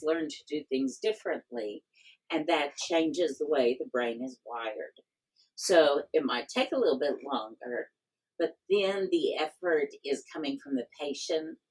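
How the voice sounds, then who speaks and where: A woman in her fifties talks with a low, somewhat husky voice. She is a professor and company founder, and she tells stories in a lively, animated way during a podcast interview. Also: unhurried, low-quality recording.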